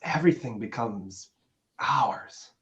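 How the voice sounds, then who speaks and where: A man's voice: medium pitch, lively intonation, slow pace. A man playing an affable, self-satisfied businessman and boyfriend in a dramatic play reading. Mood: affectionate.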